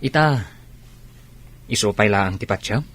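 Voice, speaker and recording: Man playing a low-voiced concerned buddy. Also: lively intonation, unhurried, a bit bright. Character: concerned, probing male friend giving advice. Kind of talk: radio drama acting.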